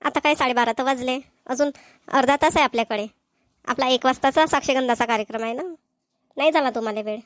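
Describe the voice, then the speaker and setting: Woman playing chatty village gossip, cartoon, Vidarbha Marathi accent, high voice, speedy delivery, folksy, dry sound. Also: quick pace, no room sound, lightly acted. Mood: sociable. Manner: folksy, talkative, colloquial. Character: chatty village women gossiping at a family engagement. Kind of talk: amateur cartoon dialogue acting.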